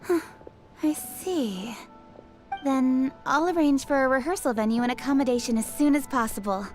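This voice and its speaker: Efficient, polite young game official played by a woman, clearly high voice over faint music. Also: close to an everyday voice. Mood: businesslike. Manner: brisk, formal, polite. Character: Keqing, brisk, efficient young female official, courteous. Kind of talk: video game voice acting.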